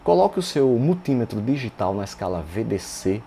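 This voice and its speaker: A man's voice: on the low side, sing-song, fairly quick, vocal fry, warm tone, a little hiss or hum. A man with a Brazilian Portuguese accent giving an informal technical tutorial in a didactic way, often asking rhetorical questions and checking in with the listener. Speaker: radio repair instructor and channel host. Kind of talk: informal technical tutorial, explaining while demonstrating.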